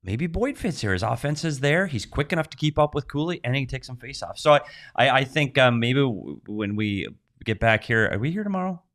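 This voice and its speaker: A man co-hosting a sports talk show, speaking at a middle pitch. He talks in an easygoing, emphatic way, often restarting and doubling up words as he makes his point. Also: lively intonation, brisk, fairly bright tone. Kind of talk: casual hockey chat.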